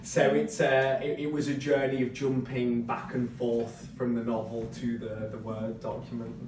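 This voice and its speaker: A man giving an informal author talk, explaining his writing process in a rambling, animated way. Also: normal speed. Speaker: novelist discussing his book at a reading. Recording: roomy, distant mic, faint rumbling noise.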